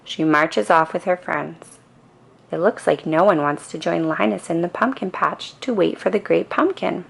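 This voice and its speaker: A woman reads a scripted bedtime story for children. Her voice sits on the low side with noticeable creak, and her storytelling is calm yet expressive. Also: moderate pace. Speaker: storybook reader.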